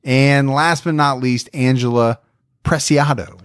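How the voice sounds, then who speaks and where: A man's voice: mid-range voice, a little slow, smooth, dry recording. Man, podcast host, casual storytelling, dry booth sound. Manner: relaxed, friendly storytelling. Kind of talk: casual true crime podcast chat.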